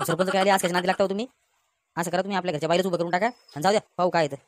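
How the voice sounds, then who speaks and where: A woman voicing a brisk, insistent male village Patil in a comic cartoon, with a folksy Varhadi Marathi accent. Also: lowish voice, fairly even intonation, no room sound, studio quality, natural voice. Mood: exasperated, insistent. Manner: insistent, folksy, dismissive of worries.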